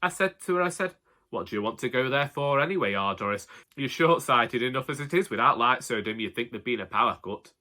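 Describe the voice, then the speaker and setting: A man playing a long-suffering elderly Northern English husband, telling a comic monologue in a wry, deadpan way. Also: medium pitch, fairly quick. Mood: wry, exasperated. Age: older. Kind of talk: comic scripted monologue narration.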